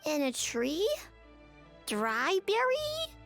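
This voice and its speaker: A woman voicing a small, naive, earnest creature in a video game, childlike and speaking in halting simple phrases. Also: high voice, huge pitch swings, creaky, fairly bright tone, soft background music, exaggerated voice. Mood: puzzled.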